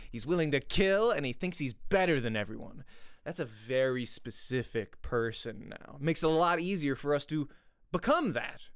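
A man's voice: on the high side, fairly bright tone, clear, resonant tone, no room sound. A man in his thirties giving a casual, conversational livestream lesson as an acting teacher, close on a studio microphone in a small room. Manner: conversational, checks in often with "right?". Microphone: close studio microphone with pop filter, headphones.

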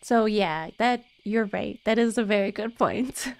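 A young woman chatting casually to viewers as a game livestreamer, expressive and giggly, on a close desk mic. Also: medium pitch, a little slow. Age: young adult. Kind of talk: casual chat with stream viewers. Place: indoor room, streaming setup. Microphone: close desk microphone on arm. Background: faint game music.

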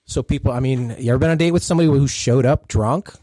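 A man's voice: medium pitch, fast, dark tone, no room sound. A man hosting a podcast in casual chat, joking and laid-back, often trailing off mid-sentence. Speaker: podcast host.

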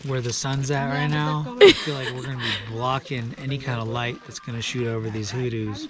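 A man's voice: medium pitch, fairly even intonation, fairly quick, crowd noise. A young man talking casually and jokingly for a travel vlog, picked up by the camera's mic. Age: young adult. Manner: joking, informal. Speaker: travel vlogger. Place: outdoors on trails, also restaurant booth.

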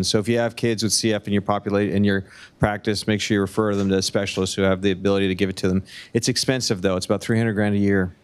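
Male surgeon, 40s, rapid, flat and low delivery, earnest. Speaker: panelist surgeon. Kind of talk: conference panel talk. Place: conference room. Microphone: handheld mic.

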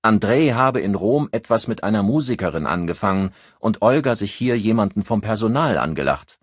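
An insistent police inspector, played by a male audiobook narrator, with a husky voice. He presses sharply and irritably, swinging widely in pitch as a crime-novel investigator. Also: lively intonation, a little fast, no echo, studio quality. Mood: indignant.

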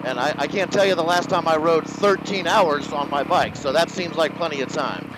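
A man's voice: a little high, moderate pace. A man riding a motorcycle, chatting casually and upbeat about gear over a helmet intercom mic. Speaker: product reviewer riding a motorcycle. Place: outdoors on a motorcycle trail. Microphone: helmet intercom boom mic. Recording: intercom audio with loud wind and engine noise.

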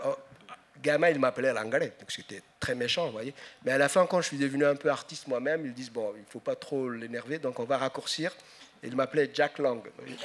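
Middle-aged guy on a festival stage, handheld mic, kinda high voice, lots of ums and restarts. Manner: storytelling, hesitant with many fillers and restarts. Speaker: author guest. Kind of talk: festival panel conversation. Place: large festival tent with live audience. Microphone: handheld microphone.

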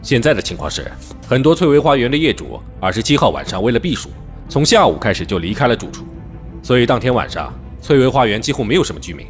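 A man playing a serious, dogged middle-aged police detective in an audio drama, speaking in a measured, firm way. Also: medium pitch, very animated, soft background music, close to an everyday voice. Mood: formal, businesslike. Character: dogged veteran police detective leading a murder case. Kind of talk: Chinese audio drama acting.

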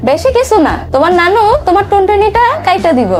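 A woman voicing an excitable, bossy and cajoling mother in a comedy cartoon, with a Bangladeshi accent. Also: extremely high pitch, quick pace. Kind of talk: Bengali comedy cartoon dub. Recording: loud music over the voice.

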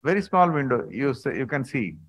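A man, a surgeon, explaining surgical video clips in a halting conference talk over a video call. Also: medium pitch, sing-song, fairly bright tone, slightly rough, no room sound. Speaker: surgeon presenting cases.

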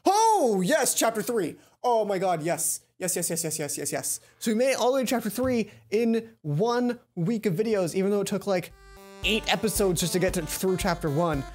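A young man hosting a let's play, thinking aloud in an excitable, rambling way into a headset mic in a small room at home. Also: high-pitched, normal speed, faint music behind.

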